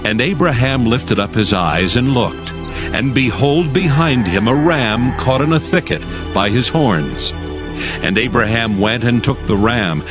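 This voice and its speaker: A man giving a scripted, solemn and measured narration of scripture. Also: mid-range voice. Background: soft music.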